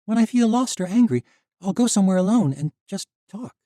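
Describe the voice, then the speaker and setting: A man voicing a fierce young woman in grief, sharp and quick to anger, in an audiobook. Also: high voice, animated, no room sound, studio quality, pitched above the performer's own voice. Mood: worried. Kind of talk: audiobook character voice.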